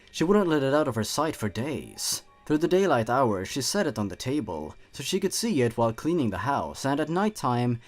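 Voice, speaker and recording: A man narrating scripted horror stories in a suspenseful, theatrical way. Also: mid-range voice.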